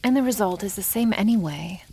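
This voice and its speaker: A woman voicing a haughty, possessive female dragon in an ASMR roleplay, teasing and condescending, often dropping toward a breathy whisper. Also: medium pitch, expressive, brisk, mellow, a little hiss or hum. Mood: smug, unhurried.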